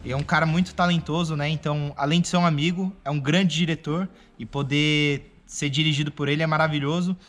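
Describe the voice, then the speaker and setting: A Brazilian man with a fairly high-pitched voice chats in an interview. He is effusive and grateful, speaking informally as a newcomer to voice acting. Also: fairly even intonation, a little fast, few pauses. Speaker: novice voice actor. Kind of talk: casual interview talk.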